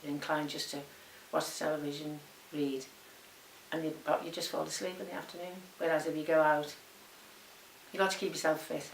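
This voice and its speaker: Low-voiced older woman. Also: little pitch movement, fairly quick, creaky. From Liverpool, England. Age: 70s. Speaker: documentary interviewee. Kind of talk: unscripted interview answers. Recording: roomy sound with faint hiss.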